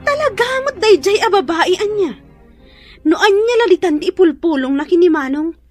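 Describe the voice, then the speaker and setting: A woman's voice: extremely high pitch, expressive, moderate pace, faint music behind. A woman with an Ilocano accent acting in a radio drama as a bossy, spiteful young woman, haughty and sneering. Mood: haughty, demanding. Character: bossy, spiteful young woman ordering her in-law around.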